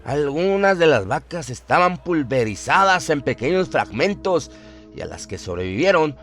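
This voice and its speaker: A man's voice: a little high, sing-song, moderate pace. A man narrating a horror radio story in a solemn, literary, suspenseful manner. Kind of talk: dramatic scripted horror narration. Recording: music behind the voice. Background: music.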